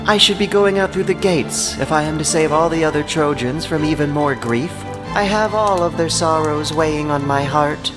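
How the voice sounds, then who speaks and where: A man's voice: fairly high pitch, pitched above the performer's own voice, close to an everyday voice. A man voicing a proud, bitter, beautiful woman of ancient legend, speaking with careful scorn and reproach in an epic audio drama.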